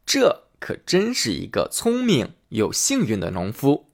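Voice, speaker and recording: A man hosting and reading a fairy tale aloud in an expressive storytelling manner. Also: medium pitch, unhurried, gravelly. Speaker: storyteller host.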